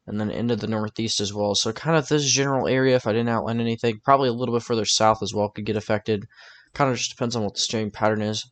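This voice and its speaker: A man's voice: mid-range voice, a bit bright, no echo. A man talking informally through a weather forecast over maps, thinking aloud in a casual, tentative way. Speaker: weather forecaster presenting his own video.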